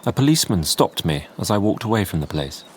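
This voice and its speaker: An actor playing a sober, educated Victorian doctor, narrating in a measured, restrained and formal way in a radio drama. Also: male voice, deep voice, very animated, a little hiss or hum.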